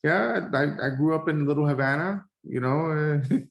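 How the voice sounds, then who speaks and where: A man from Cuba who grew up in Miami, a guest speaking casually and haltingly on a phone video call. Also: on the high side, vocal fry, low-quality recording. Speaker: guest, real estate investor. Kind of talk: casual interview.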